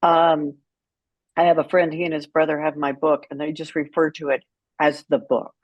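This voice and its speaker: A woman's voice: low voice, level tone, moderate pace, no room sound, lo-fi sound. A woman speaking as an interview guest, telling her story in a candid, outspoken and emphatic way. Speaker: guest, author and caregiver advocate.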